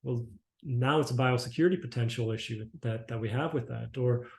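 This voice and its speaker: A man's voice: medium pitch, brisk, vocal fry, a little mellow. A man from Canada giving a webinar presentation for his company, rambling with many restarts and fillers. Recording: echoey room.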